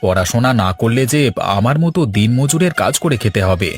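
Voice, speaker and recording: A man plays a mild village husband in a cartoon. He talks plainly and placidly at a middling pitch, a weary labourer, over faint music. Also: expressive, brisk, close to an everyday voice. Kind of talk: cartoon voice acting.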